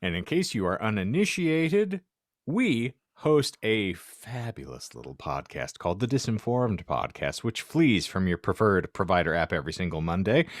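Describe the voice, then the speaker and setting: A man with a fairly low, very animated voice hosts a comedic podcast. He banters casually in playful, flowery phrasing, recorded close and dry. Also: normal speed, no room sound. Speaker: podcast host.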